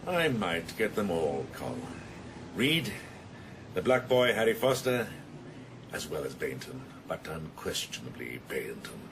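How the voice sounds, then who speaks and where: A man's voice: low voice, very animated, a little slow, noisy background, exaggerated voice. An actor playing a middle-aged senior policeman in a radio drama, brooding and vengeful, sardonic and clipped. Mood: brooding, bitter. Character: grim, vengeful senior male police officer.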